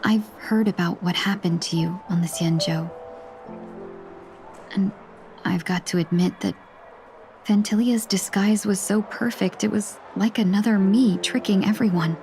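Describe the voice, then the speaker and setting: A woman acts out a refined young lady from a video game. She speaks in measured, courteous phrases at a middling pitch, gentle and a little wistful. Clear music plays underneath. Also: fairly even intonation, natural voice. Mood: wistful, troubled. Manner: polite, measured, softly formal. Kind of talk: English video game voice acting. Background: background music.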